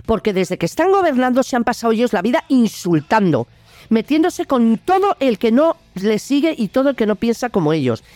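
A woman's voice: on the high side, sing-song, fairly quick, mellow, dry recording. A woman with a Spanish accent from Spain delivers an opinionated political monologue in a blunt, indignant, colloquial way. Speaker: host of a political opinion video show.

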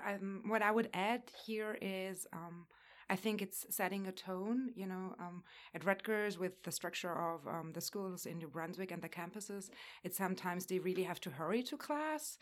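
Young German-native woman guest, lowish voice, friendly and loose. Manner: friendly, filler-heavy speech. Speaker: graduate student guest. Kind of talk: conversational interview answers.

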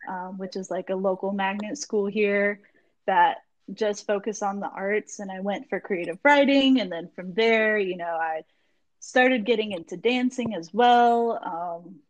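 A young woman from Florida talks casually about her background, with lots of ums and likes as she wanders between thoughts. Her voice sits at an ordinary pitch. The sound is close and dry. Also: no room sound, rough recording. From Jacksonville, Florida. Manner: rambling, many ums and likes. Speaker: magazine founder, guest. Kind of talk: casual interview chat.

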